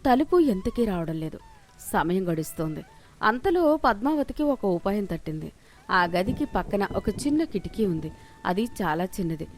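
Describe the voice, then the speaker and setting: A woman's voice: medium pitch, expressive, moderate pace, a little mellow, soft background music. A woman narrating a scripted moral story as its storyteller.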